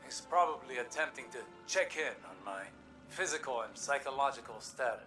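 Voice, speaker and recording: An actor playing a proud, prickly older scholar in a video game, with a dry, formal, precise delivery. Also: male voice, medium pitch, lively intonation, a little fast, bright, forceful tone, faint music behind. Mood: irritated, dry.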